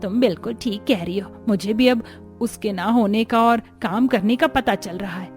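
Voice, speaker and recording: A woman's voice: mid-range voice, moderate pace, a little mellow, soft background music, natural voice. A woman playing an older mother-in-law, the commanding head of the household in a cartoon family story. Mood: conceding. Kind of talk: Hindi cartoon story acting.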